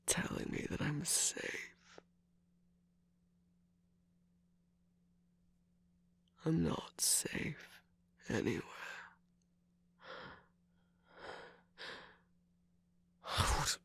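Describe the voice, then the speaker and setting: A man playing a guarded, sarcastic trickster villain in an ASMR romance roleplay, speaking haltingly in a breathy, husky whisper. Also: a little high, very animated, a bit bright, heavily acted. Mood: defensive, shaken. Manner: halting, defensive, teasing, with sighs and bitter laughs.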